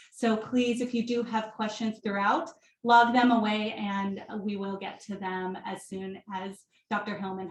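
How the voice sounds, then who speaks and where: A woman program manager introducing a guest lecturer at a community center event, thanking the audience warmly. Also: medium pitch, echoey room. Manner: warm, welcoming, thankful.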